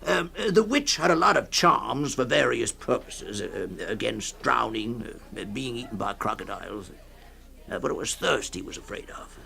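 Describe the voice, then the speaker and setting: A man playing a rambling old storyteller fond of a drink in a dramatised short story, hemming, hawing and repeating himself in a creaky voice. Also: medium pitch, animated, normal speed, pushed and bright, exaggerated voice. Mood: musing, emphatic. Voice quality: creaky, gravelly.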